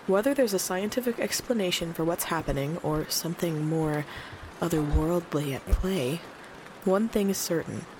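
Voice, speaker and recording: A woman's voice: fairly low pitch, normal speed, background noise, lightly acted. A woman playing a concerned small-town late-night radio host in an eerie audio drama. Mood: grave, composed.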